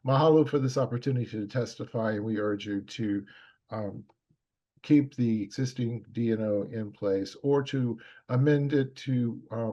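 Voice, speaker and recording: A man reading prepared public testimony at a government hearing, steady and measured, with frequent fillers and small restarts. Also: fairly low pitch, dull audio.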